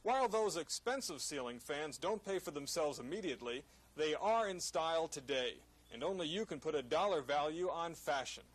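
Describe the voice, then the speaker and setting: A man's voice: a little high, expressive, moderate pace. An American man delivering scripted television news as a reporter.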